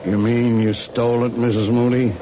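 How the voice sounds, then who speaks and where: A man playing a skeptical, matter-of-fact police lieutenant in 1970s radio drama style, questioning in a brisk, dry manner. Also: medium pitch, little pitch movement, lightly acted. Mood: surprised. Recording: muffled, noisy old radio recording.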